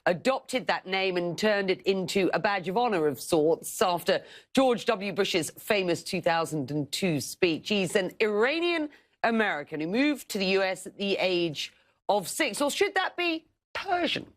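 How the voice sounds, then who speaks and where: A woman news anchor introducing a guest and asking brisk questions. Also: mid-range voice, expressive. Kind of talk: news interview.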